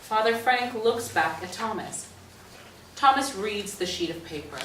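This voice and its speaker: A woman's voice: mid-range voice, lively intonation, a little slow, a bit bright. A woman reading scripted stage directions as the narrator of a play reading. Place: echoey indoor stage or hall. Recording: echoey room, distant mic, faint noise.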